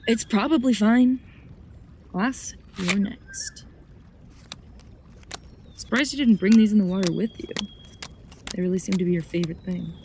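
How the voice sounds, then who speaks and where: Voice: mid-range voice, measured pace, long pauses, darkish tone, a little hiss or hum, close to an everyday voice. A performer playing a wry, lonely traveler in a naturalistic audio drama, casually and dryly muttering to themselves.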